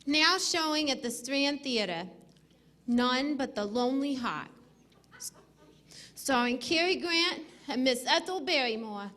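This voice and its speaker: A woman acting as a 1940s radio sponsor announcer. She is high and sharp-edged, with very animated intonation as she reads scripted plugs. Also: a little slow, pauses often, heavily acted. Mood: cheery selling.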